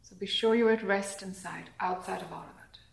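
A woman leading a guided meditation calmly and gently, in short phrases with long pauses between them. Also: mid-range voice, gravelly. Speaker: meditation teacher. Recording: echoey room.

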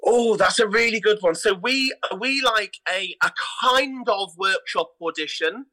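A man's voice: high-pitched, unhurried, pushed and bright. A British man chatting enthusiastically and casually as a theatre director being interviewed on a podcast.

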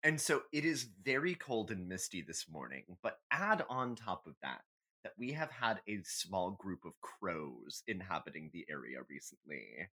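A man's voice: low voice, very animated, normal speed, pushed and bright. A man co-hosting a podcast in casual banter, dryly humorous and playfully dramatic in how he tells things. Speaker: podcast co-host.

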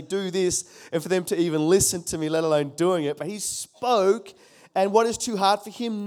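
A middle-aged man preaching a sermon in an emphatic way, repeating his key phrases and calling on the congregation to answer. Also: high voice. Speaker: pastor.

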